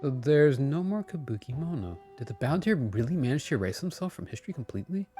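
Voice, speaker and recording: A man's voice: on the high side, very animated, quick pace, mellow. A man live-streaming a game with casual, rambling commentary, often correcting himself as he goes. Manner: rambling, self-correcting, chatty. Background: game music.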